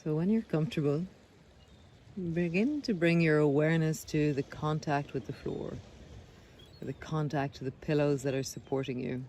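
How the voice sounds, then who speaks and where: A man leading a guided body scan meditation, speaking gently and unhurriedly with pauses between phrases. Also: fairly high pitch. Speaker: meditation guide, breath coach. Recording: clear background noise of sea sounds. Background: sea sounds.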